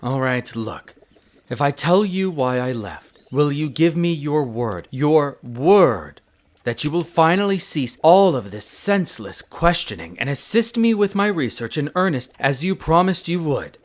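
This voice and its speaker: A man's voice: fairly high pitch, sing-song. Man acting a haughty, prickly elf scholar. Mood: reluctant, bitter. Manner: haughty, formal, exasperated, theatrical pauses. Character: proud, prickly male winter elf archaeologist, secretly soft. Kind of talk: scripted audio roleplay addressed to the listener.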